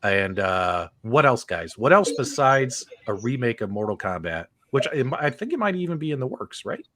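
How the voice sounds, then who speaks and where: A man's voice: medium pitch, very animated, normal speed, fairly bright tone. A middle-aged man casually hosting a live-streamed chat, rambling loosely and tossing questions to his co-hosts. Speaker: podcast host.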